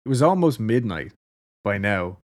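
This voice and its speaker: A man's voice: lowish voice, big pitch swings, moderate pace. A man with an Irish accent casually telling a story to a co-host, laughing often and swearing freely. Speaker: podcast co-host.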